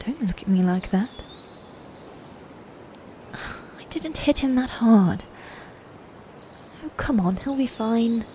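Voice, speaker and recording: A proud, possessive female knight played by a woman speaks slowly and scornfully in a medieval ASMR roleplay. Her voice is soft-toned, breathy and slightly high, and her wording is formal and commanding. Faint noise sits behind her. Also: lively intonation. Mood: irritated, bossy. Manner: formal archaic diction, commanding, contemptuous. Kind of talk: dominant ASMR fantasy roleplay.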